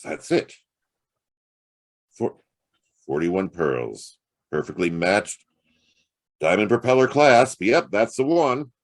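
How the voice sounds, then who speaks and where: Hardboiled noir detective, real low voice that moves around a lot, sarcastic, chatty. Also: male voice, expressive, slow, pauses often, full character voice. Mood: earnest bargaining. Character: wry, streetwise hard-boiled male private detective. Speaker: actor narrating and playing the lead. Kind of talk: hard-boiled detective radio drama acting.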